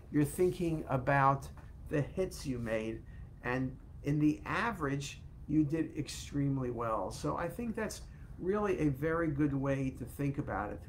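An older man talks loosely to viewers from a home office, his voice sitting a touch high for a man. He picks up through a webcam with a faint hiss of background noise. He rambles warmly through examples and stops to rephrase himself. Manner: chatty, self-correcting, animated with hand gestures. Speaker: host. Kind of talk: casual live talk to viewers. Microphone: webcam on a video call.

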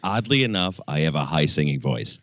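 A man calling in with a deep baritone voice, chatting casually and making dry jokes. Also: big pitch swings, fairly quick, a bit bright. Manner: dry, joking. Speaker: caller. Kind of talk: casual chat.

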